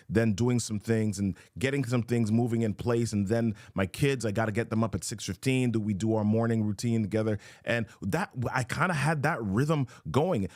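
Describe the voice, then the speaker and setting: A man's voice: on the low side, no echo, studio quality. A man hosting a motivational live show, talking emphatically and repeating his key questions. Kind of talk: motivational live-stream talk.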